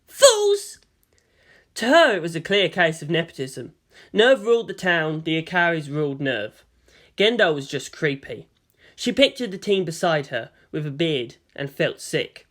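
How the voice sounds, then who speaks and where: A man reading a story as narrator in a dramatic, expressive scripted style. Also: on the high side, moderate pace. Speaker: narrator of fan fiction.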